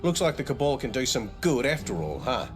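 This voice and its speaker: A man voices a wry agent for a game cutscene. His delivery is casual and sardonic at an average pitch, with faint music behind. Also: lively intonation, a little fast, lightly acted. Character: wry, down-to-earth secret society agent. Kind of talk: scripted video game cutscene acting.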